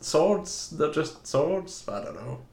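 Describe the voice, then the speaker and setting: A man's voice: on the high side, very animated, slow, vocal fry, a little mellow, echoey room. A man co-hosting a casual film commentary chat, joking and laughing often. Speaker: podcast co-host.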